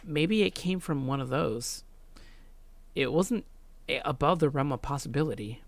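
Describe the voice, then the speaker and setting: Low voiced girl gamer reading a visual novel out loud, casual commentary, dry close mic. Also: lively intonation, normal speed, no room sound. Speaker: let's play host.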